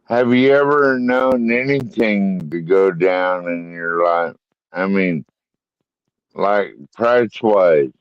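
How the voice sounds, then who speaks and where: A man in his fifties on a live-stream panel, asking slow questions in casual chat. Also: sits low, clear, resonant tone, dry recording, dull audio. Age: 50s. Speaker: panel guest.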